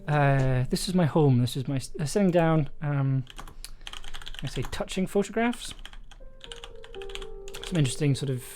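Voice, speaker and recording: A writer livestreaming their work, thinking aloud casually in halting mutters with bursts of jokey, animated asides. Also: medium pitch. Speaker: audio drama writer, livestream host. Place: indoors at a desk, livestreaming. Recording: clear keyboard typing and clicking noise. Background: computer keyboard typing, clicks.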